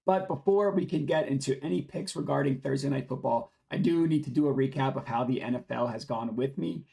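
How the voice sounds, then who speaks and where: A young man with a hoarse, raspy voice, worn down from yelling. He runs his own betting channel and talks through the odds in a brisk, upbeat, casual way. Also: mid-range voice. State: hoarse, lost voice from screaming. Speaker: sports betting channel host. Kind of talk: casual scripted betting picks talk.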